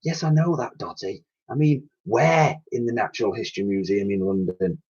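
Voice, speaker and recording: A man's voice: on the low side, normal speed, a little mellow, husky. Man doing a curious bold orphan girl. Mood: whispering. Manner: eager, inquisitive. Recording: dull lo-fi recording.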